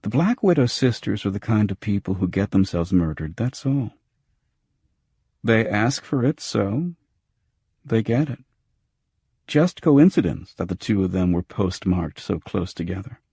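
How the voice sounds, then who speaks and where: Noir audiobook cop performed by a man: dismissive, cynical lieutenant, blunt matter-of-fact delivery, husky tone. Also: lowish voice, very animated, a little slow, warm tone, dry recording, clean studio sound, heavily acted. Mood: cold, cynical.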